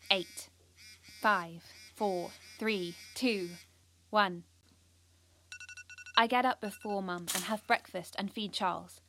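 Woman playing a bright-voiced, earnest British teenage schoolgirl. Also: medium pitch, a little hiss or hum, close to an everyday voice. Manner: confiding, conversational, earnest. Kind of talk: first-person audio drama monologue.

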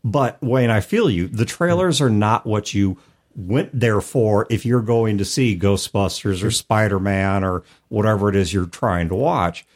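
A man's voice: on the low side, measured pace. A man co-hosting a casual discussion, explaining things through everyday analogies. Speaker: podcast co-host. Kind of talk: casual discussion of tabletop role-playing.

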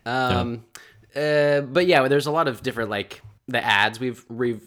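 A man's voice: mid-range voice, unhurried, fairly bright tone. A man co-hosting a podcast in casual chat, easygoing and supportive, with jokes. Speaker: podcast co-host.

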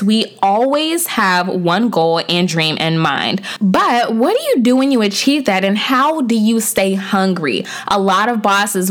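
A young woman hosts her podcast alone, talking straight to her listeners with casual slang and upbeat energy. Her voice sounds a little stuffy and sore from a cold, and she talks at an ordinary middle pitch for a woman. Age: young adult. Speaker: podcast host. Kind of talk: solo podcast talk.

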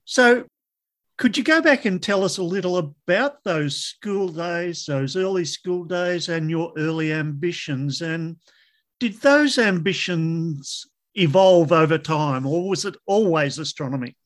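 A man's voice: on the high side, lively intonation, unhurried. A man hosting a science podcast, introducing his guest with enthusiasm and asking about her early years. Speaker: podcast host. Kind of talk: scripted show intro and interview questions.

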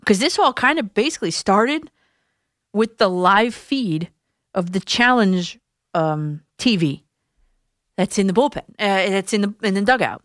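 A woman's voice: mid-range voice, expressive, unhurried, a bit bright, no room sound, clean studio sound. A woman hosting a sports talk radio show, explaining her points conversationally with occasional restarts. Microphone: studio microphone.

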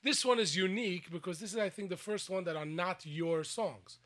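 A man hosting a radio show from a studio, talking excitedly with lots of fillers and restarts. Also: high-pitched, fairly quick. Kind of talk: lively radio chat.

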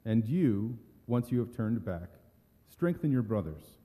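A man acts out a gentle, solemn holy teacher in a dramatic scripture reading. The voice is very dark and mellow, middle in pitch, with subdued, earnest delivery. Long reverb of a hall surrounds it. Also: big pitch swings, a little slow. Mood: earnest, instructing.

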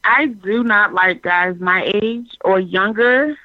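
A woman's voice: medium pitch, slow pace, dry recording. A woman in her twenties, earnestly explaining her views at length in casual chat. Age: 20s. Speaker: guest. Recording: dull dry call audio.

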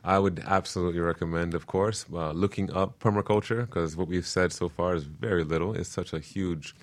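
A man's voice: low-pitched, steady tone, a little fast. A man speaking as a guest teacher on a radio interview, earnest and informative as he explains.

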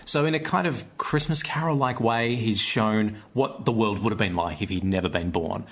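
A man explaining things conversationally as an interview guest, thoughtful and often pausing mid-phrase. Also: on the low side. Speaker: podcast guest, theatre maker.

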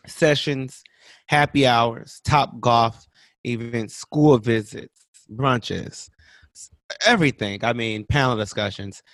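A man's voice: medium pitch, takes it slowly, a bit bright, dry recording. Male podcast co-host, mid-range voice, dry close mic, casual and playful.

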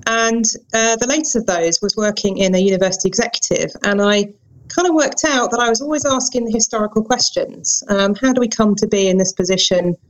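A woman's voice: mid-range voice, brisk, rough recording. A woman, a guest historian giving thoughtful interview answers with many ums and restarts.

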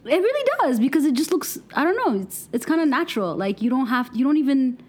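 A woman with a fairly high voice asks the questions on a podcast. She talks casually and chattily, egging her guest on with quick agreeing interjections. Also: big pitch swings, darkish tone, breathy edge.

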